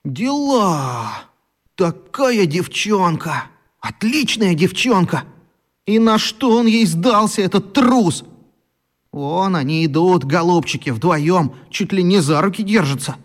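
An actor playing a scrappy, tongue-tied schoolboy bully in a children's radio play, slow and indignant in his delivery. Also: high voice, expressive, heavily acted. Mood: baffled, sulky. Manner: slow, drawn-out, blustering delivery.

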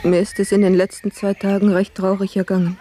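Actress as a plaintive housemaid, soft mellow voice. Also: female voice, medium pitch, level tone, brisk, slight background noise, close to an everyday voice. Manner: plaintive, earnest, old-fashioned formal phrasing. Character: Marie, dutiful, put-upon, sorrowful housemaid. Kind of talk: German radio play acting.

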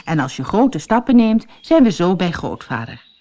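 A woman voicing a brisk, impatient young aunt who speaks in a firm, matter-of-fact way. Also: on the low side, very animated, dark tone. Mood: reassuring.

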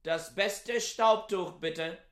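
An actor playing a curt, pedantic professor in a radio play. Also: male voice, high voice, drawn-out words, fairly bright tone, exaggerated voice. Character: fussy, pedantic scholar, a professor.

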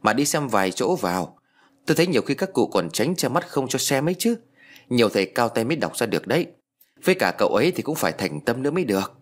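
A man's voice: mid-range voice, very animated, fast. A man voicing a gossipy, practical older village woman, chatty, insistent and a little defensive, in an audio story. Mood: gossipy, advising.

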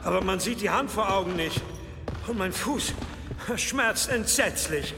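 An actor playing a frail, anxious, learned elderly abbot in a German audio drama, speaking haltingly with gasps. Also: male voice, high-pitched, expressive, gravelly, heavily acted. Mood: pained, alarmed. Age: older. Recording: long cave-like reverb, music underneath.

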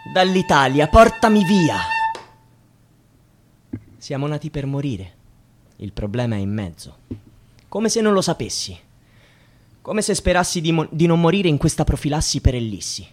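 An actor playing a bitter, defiant young student in a poetic radio theatre monologue, delivered in halting, declamatory phrases. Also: male voice, on the high side, very animated, heavy background noise. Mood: anguished, pleading. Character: anguished young student, bitter and defiant about precarious work. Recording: music under the voice. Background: music.

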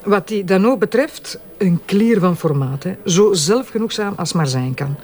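A man's voice: high voice, expressive, moderate pace, a little mellow. A man with a Flemish accent acting in a radio drama as a sceptical, scolding police superior, sarcastic and reproachful. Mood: wry.

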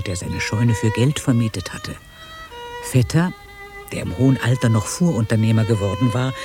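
A man narrating a radio drama in a measured, literary storytelling manner. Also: mid-range voice.

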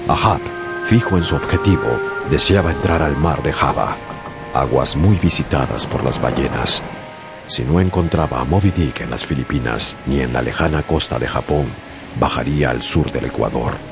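A man narrating a radio adaptation of a sea story in a measured storytelling voice. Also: very deep voice, vocal fry. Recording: music bed under the voice.

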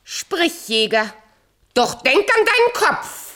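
A vain, murderous fairy-tale queen in a radio drama. Her voice is high and swings widely in pitch. She speaks slowly and theatrically, issuing commands with a volatile, imperious temper. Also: big pitch swings, sharp tone, exaggerated voice. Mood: scheming. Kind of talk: radio play acting.

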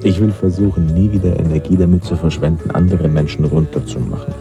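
A man's voice: low voice, steady tone, a little fast, music in the background. An actor playing a laid-back young male friend in a radio drama, giving dry, cynical life advice in a philosophizing way. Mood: dry, musing. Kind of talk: naturalistic radio play dialogue.

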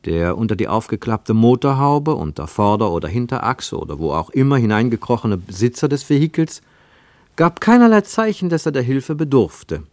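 A male narrator reads literary prose for a radio play. His lowish voice moves with lively intonation and dramatic, deliberate phrasing, recorded very dry in a studio. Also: no echo.

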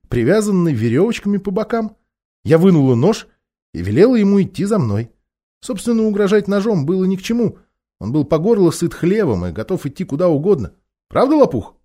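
A man acts out a plucky young girl from a fantasy storybook. The delivery is eager and youthful, a bit mischievous, with pitch that jumps around freely. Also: dark tone. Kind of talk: children's fantasy audiobook character.

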